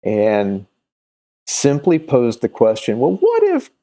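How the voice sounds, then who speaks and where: Slow, gravelly man, halting delivery. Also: medium pitch, wildly swooping pitch, dark tone. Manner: halting, hesitant, searching for words. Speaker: comics video host and creator promoting his own campaign. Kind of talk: casual unscripted presentation.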